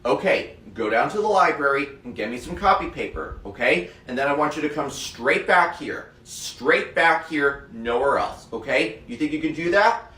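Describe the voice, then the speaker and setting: A man playing a strict but caring schoolteacher who scolds sternly and comforts softly. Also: a little high, lively intonation, moderate pace. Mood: stern, scolding. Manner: stern and scolding, then soft and consoling. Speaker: teacher in a classroom skit. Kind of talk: comedic classroom sketch. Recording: roomy echo, distant mic.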